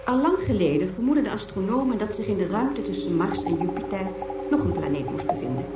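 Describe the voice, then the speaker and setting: An actress voicing a formal, instructive information tape in scripted documentary style within a radio play. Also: female voice, medium pitch, animated, a little fast, lightly acted. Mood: formal, informative. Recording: echoing, distant, music behind.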